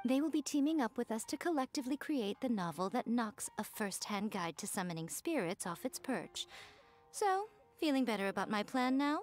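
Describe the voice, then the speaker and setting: A woman voicing a sly, elegant fox-spirit woman who runs a publishing house, with a smooth, teasing, self-assured manner. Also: a little high, very animated, normal speed, faint music behind. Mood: poised. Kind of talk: video game voice acting.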